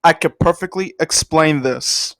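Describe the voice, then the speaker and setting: A man's voice: fairly high pitch, measured pace, a bit bright, no echo. A young man hosting a casual comedic anime commentary, rambling with self-deprecating jokes muttered under his breath. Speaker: host.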